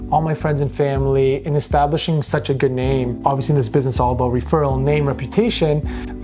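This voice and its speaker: Earnest man, music under him. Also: fairly high pitch. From Boston. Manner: earnest, conversational, with some restarts. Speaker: real estate agent, guest. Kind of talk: interview.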